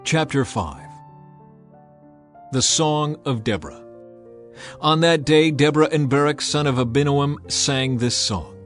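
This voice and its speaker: A man reading scripted scripture narration in a measured, solemn storytelling manner. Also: a little high, lively intonation. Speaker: narrator of a dramatized audio Bible. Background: music under the voice.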